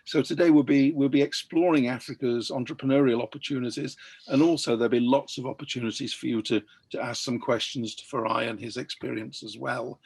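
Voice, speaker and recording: A man's voice: mid-range voice, steady tone, brisk, vocal fry, a little mellow, slightly rough, dry recording. Hesitant male interview host, dry sound. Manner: hesitant, frequent fillers and restarts. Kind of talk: hosted online interview. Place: home in London during lockdown.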